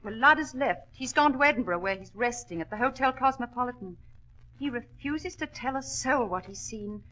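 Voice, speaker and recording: An actress playing an anxious, determined widow who owns a mine, earnest and formal in a 1940s radio drama. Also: female voice, fairly high pitch, expressive, moderate pace, pushed and bright, poor audio. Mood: haunted, grave.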